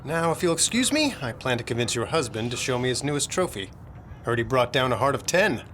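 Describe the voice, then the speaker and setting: Courteous, authoritative period doctor. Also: male voice, medium pitch, sing-song, brisk, a bit bright, slight background noise. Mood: sociable. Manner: polite, clinical, authoritative. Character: grave, courteous, firm family physician to nobility. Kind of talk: period gothic audio drama acting.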